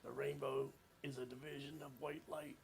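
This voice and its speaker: A man in his seventies, a patient with a weak, raspy, hoarse voice, speaking on an amplified clinic recording. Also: medium pitch, flat delivery, moderate pace, a little mellow, slight background noise. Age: 70s. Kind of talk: reading aloud and answering a clinician.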